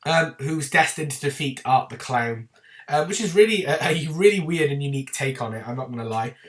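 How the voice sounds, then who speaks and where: A man talks to camera about a film series in a loose, chatty way, with plenty of ums and little apologies. His voice sounds congested and slightly rough from a lingering cold. He is picked up from a little distance away from the microphone. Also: medium pitch, expressive, fairly quick. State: bunged up and slightly rough from a winter illness. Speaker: YouTube channel host. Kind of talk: casual film review to camera.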